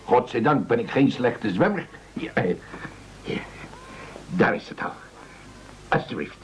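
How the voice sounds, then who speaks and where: A man's voice: medium pitch, very animated, a little slow, a little hiss or hum. An actor playing a polite, chatty, unflappable postman in a dull radio play recording. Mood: cheerful, matter-of-fact.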